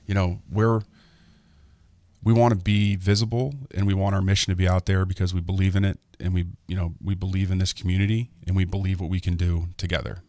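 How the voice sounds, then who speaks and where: A man's voice: low-pitched, flat delivery, fairly quick, a little mellow, no room sound. A man as a guest on a radio show, talking about his charity in a friendly way, chuckling often and restarting sentences. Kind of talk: casual radio interview. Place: radio studio.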